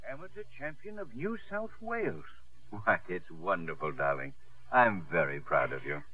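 An actor playing an anxious, tender, proud father, halting and emphatic, in a 1950s radio drama. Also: lowish voice, very animated, no room sound. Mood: tender pride. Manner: halting, repeats words emphatically. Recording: muffled vintage 1950s radio broadcast.

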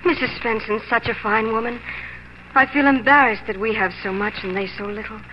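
A woman's voice: a little high, steady tone, brisk, natural voice. An American actress playing a devoted, hopeful young pioneer wife and mother, earnest and emotional in 1940s radio style. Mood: delighted, loving. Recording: muffled, noisy 1940s radio recording.

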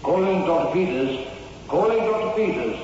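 An actor playing an impersonal hospital announcer paging doctors in formal, repeated calls for a radio drama. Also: male voice, sits high, animated, normal speed, background noise. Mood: insistent. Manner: formal, repetitive calling. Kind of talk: 1960s radio drama acting. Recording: echoing distant tannoy, long reverb, muffled.

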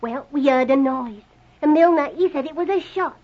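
Woman doing a loyal little english housemaid, working-class accent, really high voice, dull old radio play recording. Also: normal speed, natural voice. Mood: distraught. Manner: deferential, earnest, ungrammatical. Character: distressed, loyal English housemaid, servile and simple. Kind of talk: 1940s radio drama acting.

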